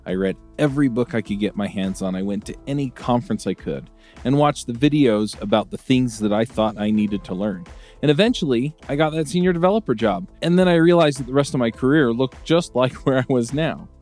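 A man reading a scripted ad in an upbeat, lively way. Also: brisk. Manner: upbeat pitch, lively delivery. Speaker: advertiser. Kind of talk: scripted ad read. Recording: light music underneath.

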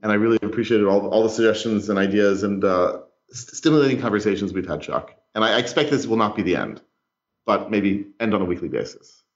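A man with a lowish voice tells a story on a podcast in a thoughtful, self-deprecating way. The recording sounds a little dull and lo-fi. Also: fast. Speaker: podcast co-host. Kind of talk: casual podcast chat.

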